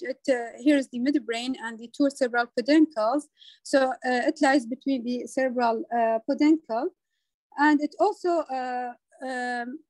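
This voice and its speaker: High-voiced woman giving a halting lecture. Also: darkish tone, no room sound, poor audio. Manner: halting, hesitant delivery with frequent filled pauses. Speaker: medical lecturer. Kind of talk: slide lecture on anatomy.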